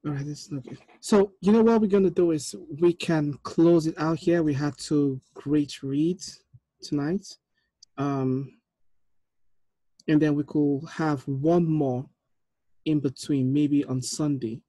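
A man's voice: fairly high pitch, fairly even intonation, takes it slowly, warm tone, no room sound, poor audio. A man in his thirties speaking casually as he hosts a group video call over an earbud headset in a small room. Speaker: host, film director running a table read.

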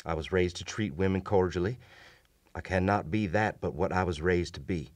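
A low, deep male voice, a man acting as a doomed gentleman soldier in a radio play. He is grave and formal, with old-fashioned courtesy, telling his haunted tale as both narrator and character. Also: moderate pace, close to an everyday voice. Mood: grave, resigned. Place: small indoor radio studio. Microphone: shared vintage-style studio mic on stand.

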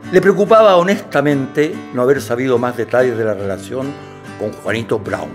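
A man's voice: mid-range voice, moderate pace, music in the background, close to an everyday voice. A man narrating a scripted radio drama as a composed, literary storyteller.

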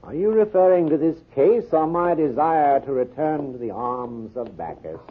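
A man's voice: fairly high pitch, animated, normal speed, husky. A man playing a fussy, pompous sidekick with florid, theatrical speech in a 1940s radio drama. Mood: florid, eager. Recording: muffled, scratchy 1940s radio recording.

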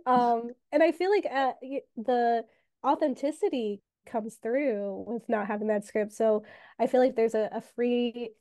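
A young woman moderating over a video call, enthusiastic and encouraging, with a slightly high, mellow voice. Her sound is dry and close, with little room echo. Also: measured pace. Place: room with old monitors, indoors.

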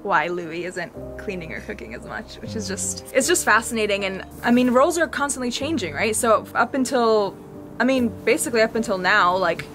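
A woman's voice: medium pitch, lively intonation, brisk, over music. A young woman from Bulgaria chatting casually with her husband on a vlog, laughing often and saying "like" a lot, recorded outdoors in a garden. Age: young adult. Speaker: vlogger, co-host. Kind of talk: casual chat.

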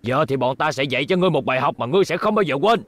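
A man's voice: a little high, animated, rapid-fire, fairly bright tone, no echo. An actor playing a menacing, taunting male robot bully who sneers threats in a dubbed cartoon.

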